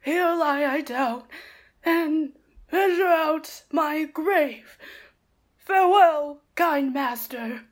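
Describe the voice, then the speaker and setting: A woman's voice: high-pitched, drawn-out words. A woman playing a frail, starving old manservant in a Shakespeare radio play, faint and exhausted. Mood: exhausted, despairing.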